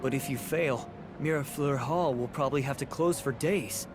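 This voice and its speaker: A calm adventurer companion from an anime video game, acted by a man. The voice sits at a middle pitch, and music plays clearly behind it. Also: moderate pace, close to an everyday voice. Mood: calm, questioning. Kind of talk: video game character acting.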